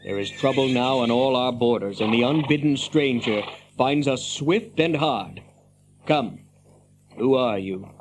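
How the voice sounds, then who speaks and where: Male actor as a slow-spoken, stern horse-lord captain. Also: mid-range voice, background noise, natural voice. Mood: challenging. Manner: stern, formal, measured. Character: stern, wary horse-lord captain, loyal to his king. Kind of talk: fantasy radio drama acting.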